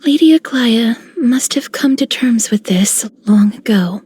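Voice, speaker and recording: Mysterious game maiden: highish, whispery, soft and melancholy. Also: female voice, lively intonation, unhurried. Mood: wistful, hushed. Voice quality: breathy, near-whispered.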